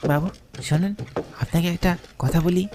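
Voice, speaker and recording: A man's voice: sits high, steady tone, normal speed, noisy background, natural voice. A man playing a mysterious, aloof bullock-cart driver in a cartoon ghost story, speaking in a cryptic, formal way. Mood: grave warning.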